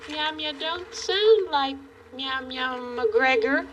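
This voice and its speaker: A small, sweet cat puppet with a very high voice. She talks slowly, in timid broken phrases full of meows. The sound is dull, old children's television. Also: fairly bright tone, recorded from a distance, slight background noise. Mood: wistful. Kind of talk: children's puppet show acting.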